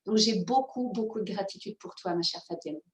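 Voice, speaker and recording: A woman's voice: medium pitch, fairly quick. Reflective woman in an echoey room. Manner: reflective, hesitant, many fillers. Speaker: guest. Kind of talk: casual conversational interview.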